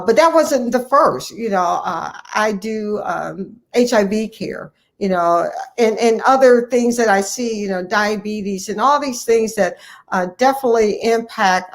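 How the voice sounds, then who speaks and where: Earnest woman physician in interview. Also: mid-range voice. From United States, Ohio. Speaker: family physician, guest speaker. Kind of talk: conversational interview answers.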